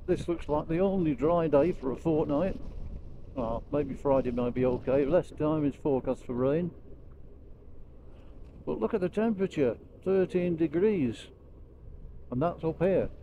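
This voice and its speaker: A man with a Lancashire accent giving casual, unhurried storytelling commentary as he rides a motorbike, speaking into a helmet mic. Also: a little high, mellow, dull audio. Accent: Lancashire English. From Lancashire, England. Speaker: motorcycle vlogger. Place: outdoors on a moving motorbike. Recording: vehicle noise while riding.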